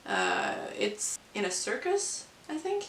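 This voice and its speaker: A woman who is not a native English speaker, chatting casually about books as a vlogger in a halting, hesitant way. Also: a little high, wildly swooping pitch, fairly bright tone. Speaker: book vlogger. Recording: roomy, distant mic with hiss.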